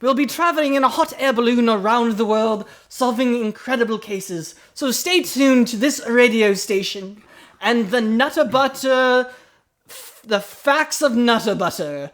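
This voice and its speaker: A man playing a grand radio serial announcer with drawn-out, theatrical delivery, as parody. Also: very high voice, fairly bright tone.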